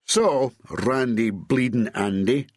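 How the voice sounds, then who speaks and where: A man's voice: medium pitch, animated, normal speed, slightly rough, no echo, studio quality, voice pushed up. A man voicing a grumpy, sarcastic police boss who snarls and scolds in exasperation. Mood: snarling.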